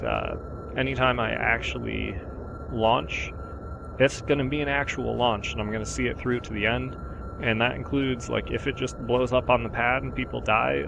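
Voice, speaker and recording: Sore-throated man with a gravelly voice. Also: mid-range voice. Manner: relaxed, rambling, many ums and uhs. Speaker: gaming channel host. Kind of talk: casual gameplay voiceover commentary. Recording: steady background noise.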